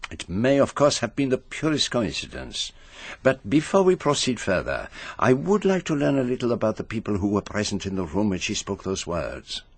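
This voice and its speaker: An actor playing a courteous, inquisitive gourmet detective in a radio drama, speaking in a measured, precise, formal way. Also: male voice, on the low side, big pitch swings, breathy edge, no room sound, heavily acted. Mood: curious, probing. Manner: measured, precise, formal, savouring his words. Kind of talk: radio drama acting with first-person narration.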